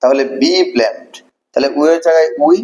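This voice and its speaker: A man's voice: fairly high pitch, moderate pace, darkish tone, poor audio. A man teaching a grammar lesson, explaining step by step and repeating key words. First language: Bengali.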